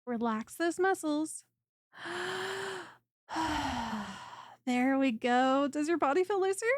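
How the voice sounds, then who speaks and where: A woman playing a friendly, clingy female lamia chiropractor in an ASMR roleplay, speaking in a soft, coaxing and teasing way directly to the listener. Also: high voice, expressive, normal speed, no echo, studio quality. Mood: soothing, attentive. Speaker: voice performer.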